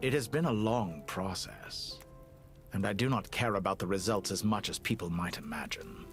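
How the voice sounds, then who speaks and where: An actor playing a cold, cultured villainous scientist in a video game, speaking in eloquent, measured, theatrical phrases. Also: male voice, deep voice, lightly acted. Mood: wry, resigned. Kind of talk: scripted video game voice acting. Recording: music under the voice.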